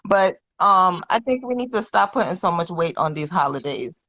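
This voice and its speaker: A woman's voice: fairly low pitch, lively intonation, moderate pace, fairly bright tone, dry recording, dull audio. A woman from Dominica who speaks with an American accent, a guest explaining things in a direct, matter-of-fact way. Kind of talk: casual chat.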